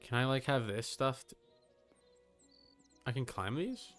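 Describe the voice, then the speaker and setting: A man's voice: mid-range voice, lively intonation, measured pace, fairly bright tone, faint music behind. A man giving casual live commentary as a game streamer, muttering short, casually profane reactions.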